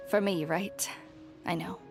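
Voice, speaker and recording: A woman voicing a proud, stoic tribeswoman in a video game, wry and chiding in a familiar way. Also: on the low side. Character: proud, teasing, wounded but stoic tribeswoman.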